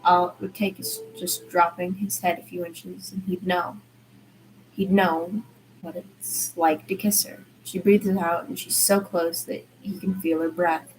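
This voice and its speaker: Lower-pitched woman narrating over background noise. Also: little pitch movement, a little slow, rough recording. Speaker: fan fiction reader. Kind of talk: audiobook-style narration of a story.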